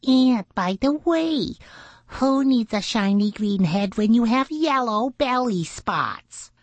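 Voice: mid-range voice, lively intonation, takes it slowly, no room sound, voice pushed up. A woman voicing a boastful, jealous little male pond critter who scoffs and shows off in a children's storybook. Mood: scornful boasting.

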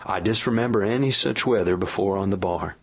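A man voicing a rough, earnest gold miner who speaks in a folksy frontier dialect as he pleads for his partner. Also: sits low, brisk. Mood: earnest, pleading. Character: rough earnest male gold miner, awkward and plain-spoken.

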